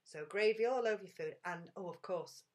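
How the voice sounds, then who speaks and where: A woman guest explaining home cooking in a homely, relaxed way. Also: mid-range voice, a little fast. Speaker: guest author. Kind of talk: casual interview over video call.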